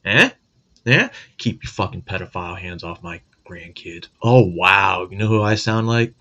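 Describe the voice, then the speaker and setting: A man's voice: deep voice, lively intonation, normal speed, pushed and bright, lo-fi sound. A middle-aged man hosting a casual monologue, blunt, profane and joking. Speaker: host.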